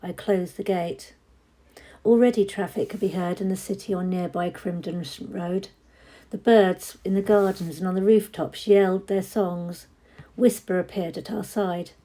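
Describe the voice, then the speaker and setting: A woman narrating a story expressively, with dramatic, measured phrasing that is often hushed. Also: mid-range voice, fairly even intonation. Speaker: story reader. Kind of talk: expressive audiobook-style narration.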